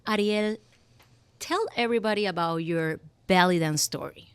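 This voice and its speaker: A woman's voice: on the low side, normal speed, smooth, dry recording. A woman hosting a live podcast, introducing her guest and asking about her story. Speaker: podcast host. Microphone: live event microphone.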